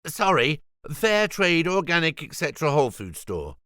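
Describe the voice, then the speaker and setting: A man's voice: fairly high pitch, huge pitch swings, normal speed, sharp tone, no echo, studio quality, pitched above the performer's own voice, exaggerated voice. A man playing a defiant, self-righteous male vegan shop owner with a sarcastic, preachy way of talking. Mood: indignant, preachy.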